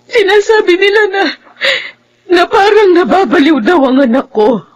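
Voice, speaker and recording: High-voiced grieving mother, tearful. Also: sing-song, moderate pace, rough recording. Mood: tearful grief. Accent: Filipino. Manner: tearful, sobbing delivery. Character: grieving mother of a dead young woman. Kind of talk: Filipino radio drama acting.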